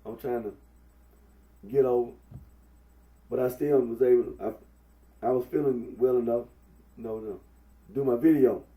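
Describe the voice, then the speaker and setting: A young man from with a head cold, talking through a face mask in a rambling, halting monologue full of fillers. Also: medium pitch, steady tone, warm tone. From Memphis, Tennessee. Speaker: vlogger. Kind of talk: casual Facebook Live monologue. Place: indoors at home. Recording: echoey room, mic at a distance.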